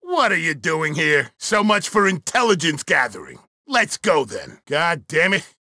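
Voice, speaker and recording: An actor playing a bossy, irritable older intelligence official in a video game, grumbling and barking orders. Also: male voice, on the high side, sing-song, unhurried, sharp tone, no echo, clean studio sound, exaggerated voice. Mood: grumpy. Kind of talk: video game character dialogue.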